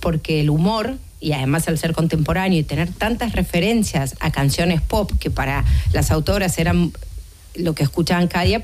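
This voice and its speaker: A woman's voice: lowish voice, a little mellow. A woman speaking as a theatre producer in an interview, explaining things emphatically and with enthusiasm.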